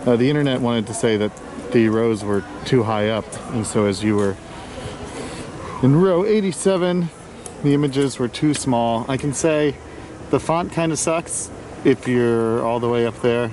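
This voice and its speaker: A man's voice: mid-range voice, measured pace, darkish tone, a little hiss or hum. A man casually narrating a walking tour outdoors at a theme park, rambling and offhand and correcting himself as he goes. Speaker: vlog host.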